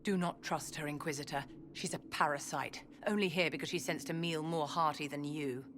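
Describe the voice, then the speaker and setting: An actress playing an imperious, sophisticated court enchantress in a fantasy game, with a poised, cutting, condescending delivery. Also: female voice, sits low, steady tone, normal speed, fairly bright tone, a little hiss or hum. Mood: disdainful. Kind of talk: dramatic fantasy game acting.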